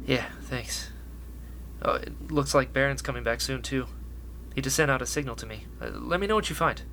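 A man playing an excitable, hopeful young rebel radio host in a sci-fi radio drama, earnest and stammering when flustered. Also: mid-range voice, moderate pace, creaky, a little hiss or hum, full character voice. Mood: hopeful, pleading. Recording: music bed under the voice.

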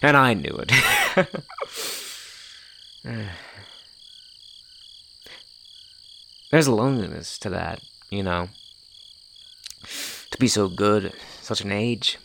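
Man acting a low, gravelly, darkly mocking jester. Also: slow, long pauses, slight background noise, exaggerated voice. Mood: wistful, boastful. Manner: brooding, mocking, with swings in loudness and pitch. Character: infamous jester, merry facade over bitter nihilistic darkness. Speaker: actor in an audio drama monologue. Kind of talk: theatrical dramatic monologue.

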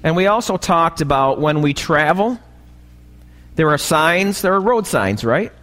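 An American man preaches a sermon live in a church with long reverb. His slightly raspy voice sits a little higher than average, and he takes his time. He often punctuates his points with short questions to the congregation. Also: animated, unhurried, a bit bright. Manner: asks the congregation frequent short checking questions. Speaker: pastor.

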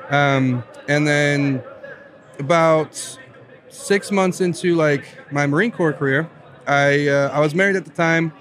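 Chatty young man, slightly high voice. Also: measured pace, a bit bright. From Southern Virginia. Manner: upbeat, chatty, laughs often, says "right?". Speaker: guest. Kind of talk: casual podcast interview.

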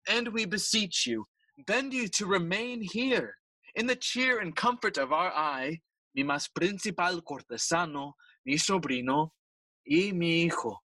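High-pitched, bright-voiced stately king. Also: expressive, measured pace, dry recording, heavily acted, low-quality recording. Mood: formally persuasive. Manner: formal, stately, oratorical. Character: regal, smooth-talking middle-aged king, uncle and stepfather. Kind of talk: bilingual Shakespearean audio play acting.

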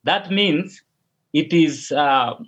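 A Kenyan pastor talks in a slow, deliberate way, often pausing and restarting. The sound is dull and lo-fi. It comes over a video call. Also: male voice, fairly high pitch, fairly bright tone. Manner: slow, measured, with hesitations and restarts. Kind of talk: casual video call interview.

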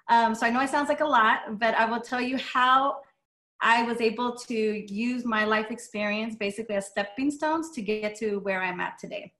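A woman's voice: medium pitch, a bit bright. A middle-aged woman from Las Vegas whose first language was Spanish, telling her life story casually with many ums. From Las Vegas, USA. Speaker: guest speaker, dance studio owner. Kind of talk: personal story talk.

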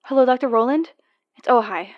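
A woman's voice: high voice, a little fast, close to an everyday voice. A woman playing an anxious, polite young girl, hesitant and earnest on a muffled phone call. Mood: worried. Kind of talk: audio drama acting.

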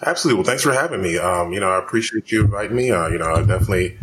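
A man from Houston, Texas, a physician guest talking fast with many fillers in a podcast interview. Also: sits low, expressive, background noise. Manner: rapid, many fillers and restarts.

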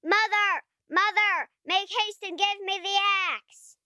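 A man voicing a brave, earnest young boy in a storybook reading, with urgent high-pitched pleading. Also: steady tone, slow, bright, forceful tone, no room sound, studio quality, full character voice.

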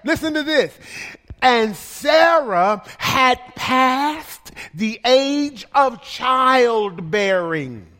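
Guy pastor preaching slow, playful, repeats stuff a lot, calls for responses. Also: very high voice, bright, forceful tone, in a large hall. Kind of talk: sermon.

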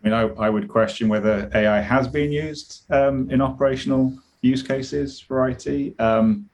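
A man's voice: medium pitch, steady tone, moderate pace, darkish tone. A British man taking part as a guest in an interview, explaining his views in a conversational way and thinking aloud with small restarts. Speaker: guest, product director.

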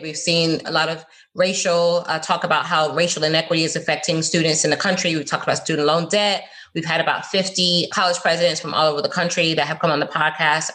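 Woman in her forties, earnest, lively, laughs easily, slightly low voice. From South Florida. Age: 40s. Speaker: guest, college professor. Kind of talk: podcast interview.

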